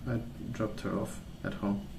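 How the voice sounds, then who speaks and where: A man speaking softly in a calm, gentle, unhurried way, rambling in a casual ASMR storytelling style. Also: lowish voice. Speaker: ASMR video creator. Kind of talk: soft-spoken ASMR rambling. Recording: roomy sound with clear background noise.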